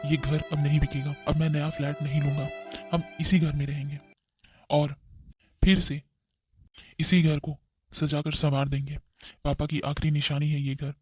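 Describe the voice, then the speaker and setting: A man's voice: on the high side, a little mellow, husky. A man voicing a practical, stubborn grown son in a cartoon family drama, speaking insistently and earnestly. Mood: remorseful, earnest. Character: practical, stubborn young adult son and brother. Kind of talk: amateur cartoon voice acting.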